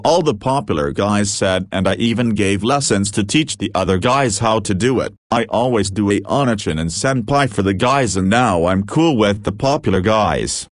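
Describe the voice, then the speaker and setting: A man with a fairly low voice reads a run of online posts aloud, one after another. His delivery is flat and steady, the way a narrator reads text off a page. The sound is close and dry, with no room around it. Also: dry recording. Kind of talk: read-aloud story narration.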